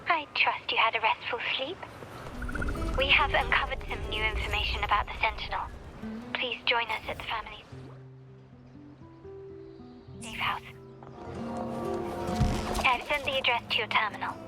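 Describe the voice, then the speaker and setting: A woman's voice: fairly high pitch, normal speed, pauses often, music in the background, lightly acted. An actress playing a poised young noblewoman who leads a family in a video game, formal, composed and courteous. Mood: courteous, businesslike. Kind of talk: video game cutscene acting.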